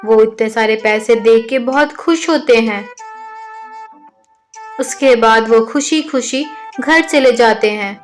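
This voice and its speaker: A woman's voice: on the high side, slow, soft background music. A woman narrating a scripted children's story as a storyteller.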